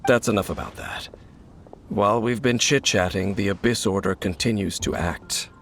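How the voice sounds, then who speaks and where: A cool, aloof wanderer. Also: male voice, fairly low pitch, a bit bright, a little hiss or hum. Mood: guarded, calm. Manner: measured, wry, reserved. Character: calm aloof male wanderer, guarded and cynical. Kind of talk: video game voice acting. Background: game music.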